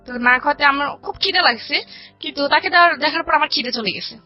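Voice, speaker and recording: A Bangladeshi woman with a fairly high voice shares her own story in a conversational way. She retells exchanges line by line, quoting both sides. The sound is slightly muffled and lo-fi, with music playing underneath. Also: quick pace. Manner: recounts dialogue in detail, quoting both sides. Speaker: guest telling her life story. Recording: dull lo-fi radio audio with music. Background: background music.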